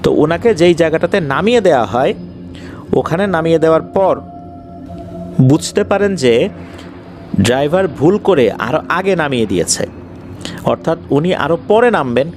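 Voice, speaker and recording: Animated Bangladeshi man telling ghost stories. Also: fairly high pitch, normal speed, pauses often. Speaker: horror story narrator. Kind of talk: conversational spoken storytelling of paranormal incidents. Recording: faint music behind the voice. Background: faint background music.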